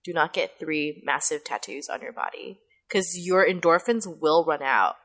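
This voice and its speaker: Podcast host, woman, youthful kid-like voice but pitched a bit low, first language Mandarin, rambly and chatty. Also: normal speed, fairly bright tone. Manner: chatty, rambling, laughs often. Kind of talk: casual podcast chat.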